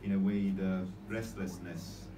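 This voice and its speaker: Young man, poetry reading, slow measured delivery, echoey room, clear background noise. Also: deep voice, little pitch movement, far from the mic. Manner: hesitant, many fillers when explaining. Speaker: poetry reader. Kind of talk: poetry reading at an open mic. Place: small cozy room with string lights, indoors. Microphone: handheld mic, filmed from far in the audience. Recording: echoey room recorded from afar, noisy.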